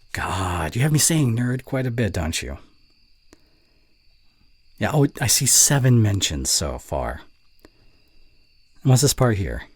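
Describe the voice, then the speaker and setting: Casting: male actor for snarky college student in ASMR roleplay, young, low husky voice, teasing, grumbling, secretly fond. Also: darkish tone, no echo, heavily acted. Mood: bantering, critical. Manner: teasing, grumbling, with sighs and breathy murmurs. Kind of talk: intimate ASMR roleplay acting.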